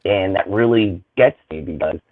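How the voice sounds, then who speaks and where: A man from Boston, a comic creator chatting casually on a livestream, talking with sarcastic, animated energy. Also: low-pitched, moderate pace, no echo. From Boston, Massachusetts. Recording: tinny phone-like audio.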